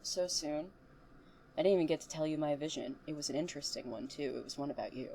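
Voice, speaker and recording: A woman's voice: sits low, quick pace, dark tone, slight background noise. A woman playing a smug, cryptic student who mocks and needles theatrically in an audio drama. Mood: smug, dry.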